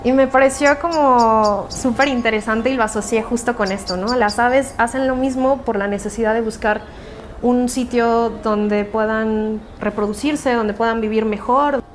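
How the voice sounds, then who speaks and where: A woman with a fairly high voice explains an idea eagerly. A faint ambient hum stays behind her. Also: fairly even intonation, normal speed.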